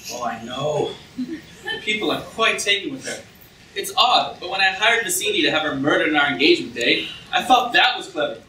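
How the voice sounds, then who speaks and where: A man's voice: on the high side, animated, a little fast, pushed and bright. A man playing a smug, treacherous prince in a theatrical, self-satisfied manner at a staged reading. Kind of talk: staged reading of a comic play. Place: auditorium stage, recorded from the audience. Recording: echoey hall, far camera, room noise.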